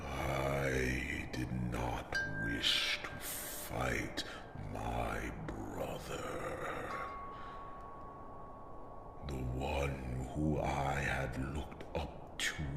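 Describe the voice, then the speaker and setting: A man voicing an old, solemn dragon king in an ASMR fantasy roleplay, slow and halting, with a deep, gravelly, breathy voice. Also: heavy background noise, full character voice. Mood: sorrowful, grave. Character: old male dragon, former Alpha king, solemn and regretful. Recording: echoing voice over music and chimes.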